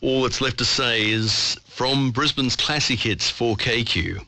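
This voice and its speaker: A man's voice: mid-range voice, unhurried, sharp tone, no room sound. An Australian man presenting live on radio from a studio. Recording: AM radio broadcast picked up online.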